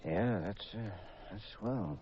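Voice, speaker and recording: An actor playing a wry, smooth male private detective in a 1950s radio drama, casual and confiding. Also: fairly low pitch, animated, slow pace, warm tone, a little hiss or hum. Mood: distracted, hesitant. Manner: casual, hesitant, wryly confiding. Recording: dull vintage radio recording.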